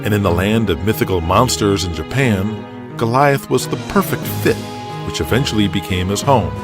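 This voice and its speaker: A man reading scripted, dramatic narration for an audio drama. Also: on the low side.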